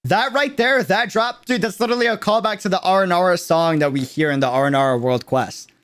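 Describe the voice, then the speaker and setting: A young adult streamer giving casual, slangy, excitable livestream reaction commentary on a game video. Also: mid-range voice, brisk, heavy background noise. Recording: loud music behind the voice. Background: loud game trailer music.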